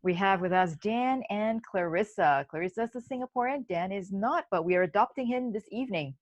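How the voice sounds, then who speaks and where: A woman's voice: mid-range voice, animated, a little fast, no room sound. A woman in her forties hosting an online community forum over a video call, talking conversationally with fillers and restarts. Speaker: forum host.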